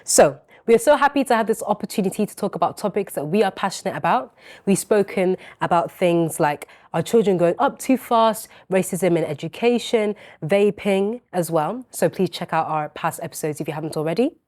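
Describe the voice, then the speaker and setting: A woman's voice: on the low side, fairly quick, darkish tone. A teenage girl hosting a talk show in an upbeat, enthusiastic way, with an English accent that has touches of Northern Irish. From born in England, raised in Northern Ireland. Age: teens. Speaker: host.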